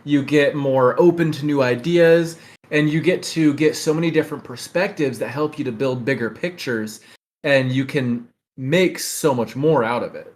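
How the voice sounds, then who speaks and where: Agreeable man, medium-pitched voice. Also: normal speed. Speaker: podcast host. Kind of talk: casual podcast chat.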